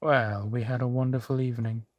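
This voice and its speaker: A man's voice: mid-range voice, little pitch movement, fairly quick, no room sound, dull audio, natural voice. A man voicing a suave, genteel vampire centuries old, speaking unhurriedly and formally to the listener in an intimate audio roleplay. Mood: amused, charming. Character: suave male vampire, four centuries old, genteel, playfully amused host.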